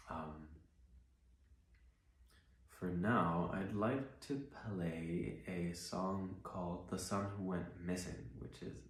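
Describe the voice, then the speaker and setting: Songwriter, low voice, chatty and reflective between songs, heavy room echo. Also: male voice. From Iceland. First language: Icelandic. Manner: reflective, rambling storytelling with many fillers. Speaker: musician and songwriter. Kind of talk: casual livestream chat between songs. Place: living room. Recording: very echoey room.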